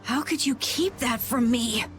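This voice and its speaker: A woman's voice: on the high side, unhurried, music in the background, close to an everyday voice. A woman voicing an earnest, anxious young boy guild leader in a video game, speaking hesitantly. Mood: troubled.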